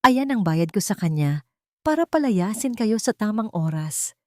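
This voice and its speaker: A woman's voice: medium pitch, expressive, a little slow, mellow, no echo, clean studio sound, pitched above the performer's own voice, lightly acted. A woman with a Filipino accent voicing a scheming, venomous rich female villain in a dramatic audio storybook. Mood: taunting. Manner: menacing, taunting, calculated.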